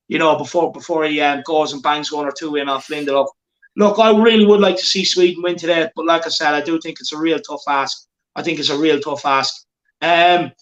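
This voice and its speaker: A man's voice: a little high, a bit bright, roomy sound, rough recording. A man hosting a football watchalong, chatting casually and reading out viewer comments. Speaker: watchalong host.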